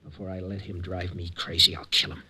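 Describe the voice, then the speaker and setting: A desperate, rattled man in 1950s drama style. His voice is very sharp and strained, protesting and stammering. It comes through an old broadcast recording with faint noise. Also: low voice, little pitch movement, fairly quick. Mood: bitter, desperate. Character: desperate, rattled man tormented by a rival at work. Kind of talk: 1950s radio drama acting.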